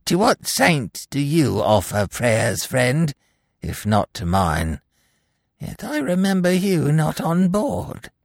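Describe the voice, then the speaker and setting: A man voicing a misshapen, malevolent dwarf magician in a dramatic story reading, with theatrical, grandiose, mockingly genial delivery and a gravelly, creaky voice. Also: fairly high pitch, huge pitch swings, no echo, studio quality, pitched above the performer's own voice, exaggerated voice. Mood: mocking curiosity. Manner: theatrical, drawn-out, archaic grandiose speech. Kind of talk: dramatic audiobook character reading.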